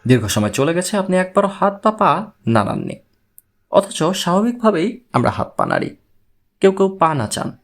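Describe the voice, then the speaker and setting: A man acts out a patient investigator from an audiobook story. He reasons step by step and puts careful questions. The voice is slightly high and husky, with very lively intonation. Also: exaggerated voice. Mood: calm, analytical. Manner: measured, explanatory, questioning. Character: analytical, patient male investigator explaining his theory.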